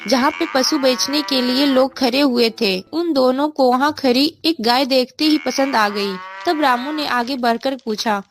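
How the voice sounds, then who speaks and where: A woman's voice: high voice, a little slow, few pauses, soft background music. A woman narrator reading a scripted bedtime story for children.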